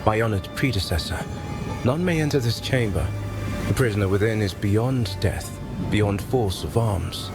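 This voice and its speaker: An actor playing a stern, formal official declaiming an imperial decree in a fantasy video game. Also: male voice, on the low side, lively intonation, normal speed, over loud music.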